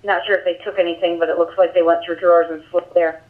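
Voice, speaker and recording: Tinny scanner radio, woman, emergency dispatcher, quick, clipped, procedural. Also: on the low side, level tone, a crowd in the background. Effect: police radio through scanner speaker. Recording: tinny digital police radio from scanner speaker.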